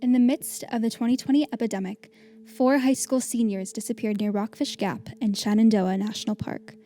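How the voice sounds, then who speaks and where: A woman's voice: fairly high pitch, steady tone, a little fast, warm tone, close to an everyday voice. A woman playing a composed, ominous investigative reporter, narrating scripted radio drama with an American accent. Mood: ominous, measured. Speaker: podcast host and narrator. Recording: music under the voice.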